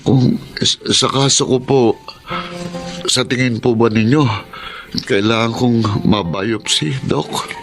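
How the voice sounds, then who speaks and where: An actor playing a hardworking, gentle middle-aged father in a radio drama, talking earnestly and giving fatherly advice. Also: medium pitch, slow, pauses often, over music. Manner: earnest, fatherly, softly advising. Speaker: father of college students.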